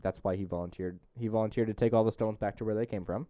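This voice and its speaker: A man's voice: fairly low pitch, fairly even intonation, quick pace, dark tone, no echo, clean studio sound. A man hosting a casual movie-review podcast chat, often exasperated as he keeps his friends on track. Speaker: podcast host.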